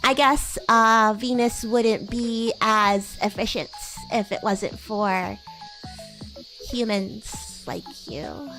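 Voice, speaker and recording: A woman puts on a young female demon classmate with a high, girlish voice. She is bratty, playful and teasing, rambling in a loose livestream roleplay style. Faint music plays behind her. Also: takes it slowly, low-quality recording. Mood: musing. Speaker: VTuber streamer. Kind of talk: improvised in-character roleplay livestream chat.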